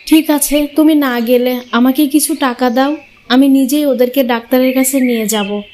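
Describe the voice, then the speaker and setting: A woman's voice: sits high, fairly even intonation, normal speed, a little mellow, slight background noise, natural voice. A woman voicing a long-suffering, sorrowful young mother in a cartoon fairy tale, earnest in manner. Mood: worried pleading.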